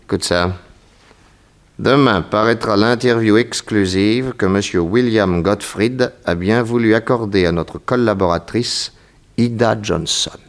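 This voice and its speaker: Radio drama role: keen male sleuth, deep voice, old-fashioned theatrical delivery. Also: unhurried. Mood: intrigued, explanatory. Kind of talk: 1960s French radio drama acting.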